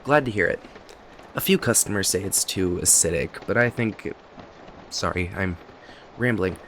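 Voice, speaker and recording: A kindly, talkative cafe owner acted by a man with a fairly low voice, in an intimate audio roleplay. He chats in a friendly, rambling way, often hesitant and quick to apologise. Steady rain noise sits behind his voice. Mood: gently concerned, hesitant. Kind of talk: ASMR roleplay, conversational acting to the listener. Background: rain and cafe ambience.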